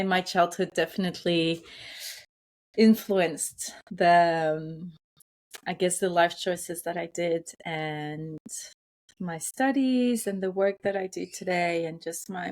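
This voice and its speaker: Slow, thoughtful woman telling personal stories. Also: fairly low pitch, fairly even intonation. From born in France, grew up partly in Kenya. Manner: hesitant, thoughtful phrasing. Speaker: interview guest, environmental psychologist. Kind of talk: reflective personal storytelling in conversation.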